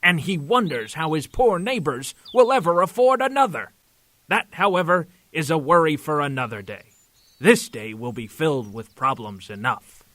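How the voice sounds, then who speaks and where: A man's voice: a little high, animated, normal speed, pushed and bright, no echo. A man playing a grand, florid comic-book narrator, with theatrical and emphatic scripted delivery. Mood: urgent, grand. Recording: music bed under the voice.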